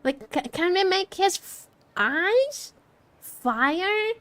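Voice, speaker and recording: A woman's voice: very high voice, sing-song, very slow, fairly bright tone, no room sound. A woman streaming as a VTuber, chatting casually about a game in a teasing, joking, giggly way. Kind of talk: casual livestream chat reacting to a game.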